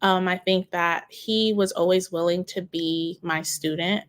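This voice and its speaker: A woman, a guest educator, telling her story in an interview in a reflective, searching way, often pausing and restarting phrases. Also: lowish voice, fairly even intonation, noisy background. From United States, of Haitian parents.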